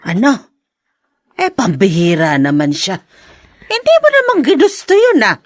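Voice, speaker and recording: A woman's voice: mid-range voice, huge pitch swings, measured pace, no echo, studio quality. An actress playing a protective older Filipino mother in a radio drama, comforting, with concerned exclamations. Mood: concerned, indignant.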